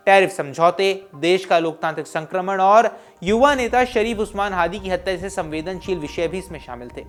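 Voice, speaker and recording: A man presenting scripted news in an emphatic, explanatory way. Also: high-pitched. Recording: voice over music bed. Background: background music.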